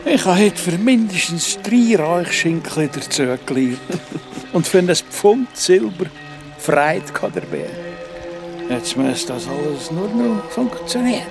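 An actor playing a good-humoured, jovial monk with a Swiss German accent in an audio drama. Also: male voice, sits high, very animated, unhurried, creaky, exaggerated voice. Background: music.

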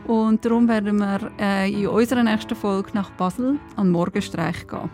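Dark-voiced woman co-hosting. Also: mid-range voice, steady tone, moderate pace, faint music behind. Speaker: podcast co-host. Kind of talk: chatty episode outro.

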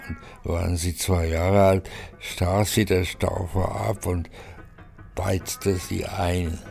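Deep, slow, gravelly man reading literature. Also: flat delivery, darkish tone. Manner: measured, deliberate. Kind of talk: literary reading, scripted narration. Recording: music behind the reading.

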